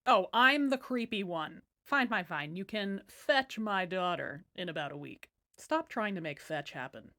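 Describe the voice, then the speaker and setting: A woman's voice: fairly low pitch, expressive, unhurried, pushed and bright, heavily acted. A woman voicing a grudging merchant father bargaining in a fairy tale, casual and evasive.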